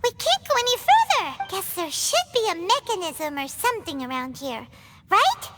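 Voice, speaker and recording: A woman's voice: extremely high pitch, normal speed, exaggerated voice. A woman voicing a tiny, chirpy, nosy fairy-like female companion in a video game, who refers to herself in the third person. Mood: curious. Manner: excitable, curious, speaks of herself in third person. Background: game music.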